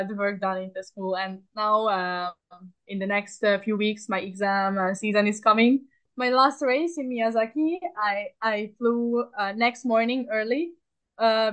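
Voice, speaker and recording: Chatty young woman, high voice. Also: a bit bright. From Hungary. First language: Hungarian. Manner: hesitant, many fillers and restarts, upbeat. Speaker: athlete guest. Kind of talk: casual interview chat.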